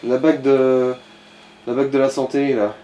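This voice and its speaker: Gaming companion, male. Mid pitch, dark relaxed tone, informal tentative advice, slight background noise. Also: normal speed. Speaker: companion advising the player. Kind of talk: casual chat, backseat gaming advice.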